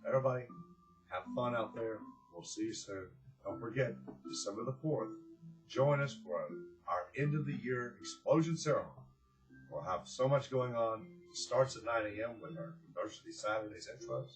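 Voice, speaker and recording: A man reading aloud and hosting for children, with expressive, drawn-out phrasing and a gentle, encouraging way of addressing them. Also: mid-range voice, faint music behind, low-quality recording. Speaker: children's story reader and host.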